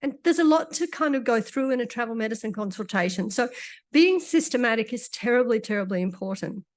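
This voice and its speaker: A woman lecturing to a room of doctors, her voice slightly croaky from recent illness. She speaks in a fairly high register, relaxed and conversational, slipping in dry little asides as she walks through her slides. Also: a little fast. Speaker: doctor giving a conference talk. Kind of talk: conference lecture.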